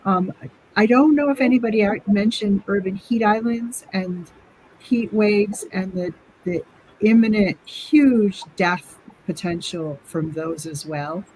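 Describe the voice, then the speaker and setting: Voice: medium pitch, slow pace, a little mellow, poor audio. Concerned panelist, mid voice, faint hiss in the background. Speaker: panel participant. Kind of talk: discussion on a web forum call.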